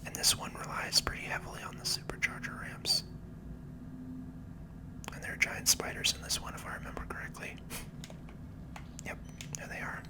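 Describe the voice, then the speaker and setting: A person giving gentle, unhurried ASMR game commentary entirely in a breathy whisper. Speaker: gaming video host.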